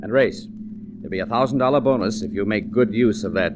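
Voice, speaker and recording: A man's voice: on the low side, fast talker, noisy background, close to an everyday voice. An actor playing an earnest, concerned businessman in a 1940s radio drama, formal and a little hesitant.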